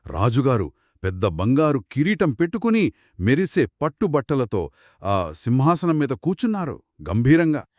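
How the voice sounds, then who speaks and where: A man co-narrating a children's moral story in an expressive, conversational way. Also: medium pitch, normal speed, no echo, clean studio sound. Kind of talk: conversational two-person storytelling.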